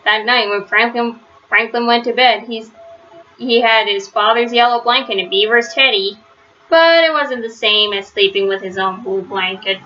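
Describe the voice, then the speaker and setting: Woman reading a kids book out loud, expressive, really bright voice, bit lo-fi. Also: a little high, normal speed, slight background noise. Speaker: read-aloud storyteller. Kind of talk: children's storybook narration. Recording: slightly dull, lo-fi home recording.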